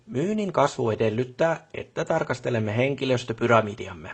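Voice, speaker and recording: A man's voice: medium pitch, lively intonation, breathy edge. A man playing a pompous middle-aged company boss in a video game, with a stiff, long-winded, bureaucratic way of speaking. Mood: stiffly encouraging. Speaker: job boss character. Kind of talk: formal scripted meeting speech in a video game.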